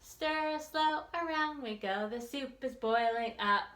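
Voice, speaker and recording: A woman leading a children's storytime as a librarian, reading aloud in an expressive, deliberate, sing-song way. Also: sits high. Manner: slow, deliberate, expressive delivery with repetition. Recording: roomy, echoey sound.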